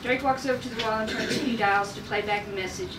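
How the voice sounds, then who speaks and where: A woman's voice: on the high side, quick pace. A woman reading script directions at a table read, with casual, giggly asides. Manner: giggly, informal. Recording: noisy echoey room, distant mic.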